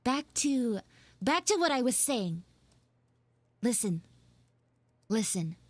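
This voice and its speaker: A woman live streaming an audio roleplay as a bossy, secretive tsundere schoolgirl, halting and teasing, slipping into hushed asides. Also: a little high, very animated, no room sound. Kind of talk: live in-character audio roleplay with chat banter.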